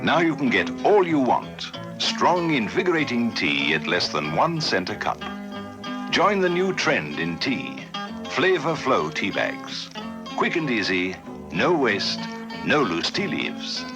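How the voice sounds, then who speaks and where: A man's voice: medium pitch, huge pitch swings, slow pace, music in the background. A man reading an old radio commercial in a punchy, promotional way. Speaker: advertising announcer.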